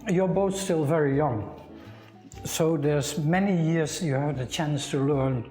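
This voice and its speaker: A man's voice: fairly high pitch, a little slow, darkish tone, soft background music. An older man from the Netherlands with a Dutch accent, a guest on a cooking show who talks in a thoughtful, casual way with many restarts. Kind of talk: casual conversation.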